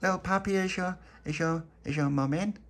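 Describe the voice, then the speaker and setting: Brief: man doing a courteous little girl attendant, softly. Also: high voice, fairly quick, pitched above the performer's own voice. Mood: polite. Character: polite obliging little girl lady-in-waiting.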